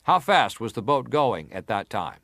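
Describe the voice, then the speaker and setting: Casting: middle-aged male senator in hearing drama, medium pitch, probing and formal, deliberate delivery, close dry recording. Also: very animated, fairly bright tone, no echo. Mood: probing, insistent. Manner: deliberate, formal, pressing questions. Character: probing, persistent senator questioning a witness. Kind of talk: dramatised reading of hearing interrogation.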